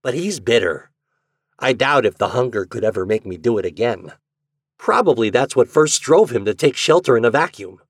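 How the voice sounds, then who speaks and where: A male narrator plays a cunning, sinister man from a horror tale. His voice is rough-edged and rises and dips markedly, with an eerie calm over a hungry intensity. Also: mid-range voice, normal speed, dry recording, clean studio sound. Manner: eerily calm, intense, rising in fervour. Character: sinister, cunning male host obsessed with raw meat.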